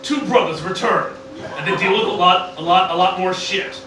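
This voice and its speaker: A man's voice: sits high, moderate pace. A man improvising a rambling, repetitive comic mock trailer. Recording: very echoey, distant, noisy live room.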